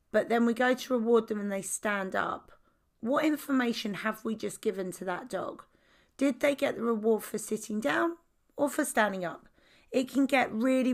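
A woman with a croaky voice, hosting a podcast and calmly explaining dog-training methods in a scripted way. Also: mid-range voice.

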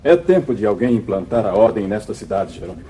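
An actor playing an important, troubled small-town banker, grave and formal, in a 1950s radio serial. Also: male voice, on the low side, expressive, fast talker, warm tone, close to an everyday voice. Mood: troubled, complaining.

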